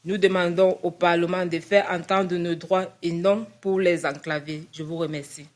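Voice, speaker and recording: A woman's voice: on the low side, fairly even intonation, normal speed, very noisy. A woman reading a prepared statement on legal rules at a meeting, stumbling and restarting over the formal wording. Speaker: speaker at a parliamentary meeting.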